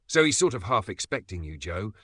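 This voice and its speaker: A man puts on an excitable young Italian friend who takes over the call and talks eagerly. The voice is pitched up and bright, and it is recorded close and dry. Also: breathy edge, no echo, full character voice. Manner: eager and rushing. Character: enthusiastic, chatty young Italian man on the phone.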